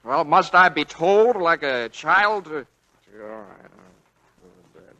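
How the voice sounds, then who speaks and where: Bright-voiced, nervous young American husband. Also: male voice, on the high side, sing-song, takes it slowly, lo-fi sound, full character voice. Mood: defensive, irritable. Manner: hesitant, stammering, flustered. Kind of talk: 1940s radio drama acting. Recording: vintage 1940s radio broadcast.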